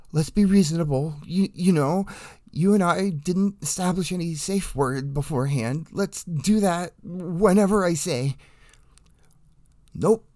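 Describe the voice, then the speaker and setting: Glib, nervy male captive acted out, animated. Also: a little high, dry recording, studio quality. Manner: sarcastic, glib. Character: flippant, nervy male captive talking his way out.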